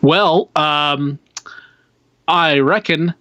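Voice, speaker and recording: A man co-hosting a podcast in casual chat, speaking in a halting, informal way full of slangy asides. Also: on the high side, sharp tone, no echo. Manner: halting, informal slacker speech with dude and man. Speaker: podcast co-host.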